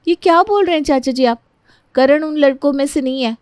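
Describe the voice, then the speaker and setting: A woman's voice: high-pitched, a little fast, darkish tone, dry recording, studio quality, pitched above the performer's own voice, lightly acted. A woman voicing an earnest, pleading young bride-to-be who defends her fiance in a story. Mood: earnest, defensive.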